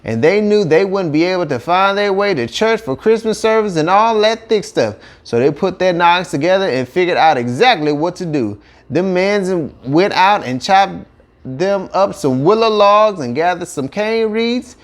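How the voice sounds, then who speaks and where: Animated Cajun man telling a folksy story. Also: high-pitched, moderate pace. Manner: colloquial, animated dialect delivery. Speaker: audiobook narrator.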